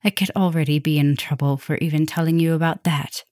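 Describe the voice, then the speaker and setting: A woman playing a tender, gently dominant vampire professor in an intimate ASMR roleplay, speaking softly and soothingly, often slipping toward a whisper. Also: low-pitched, steady tone, no echo, studio quality, lightly acted. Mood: calm, cautioning. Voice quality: soft, breathy, often dropping to a whisper. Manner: slow, soothing, affectionate murmuring with pauses.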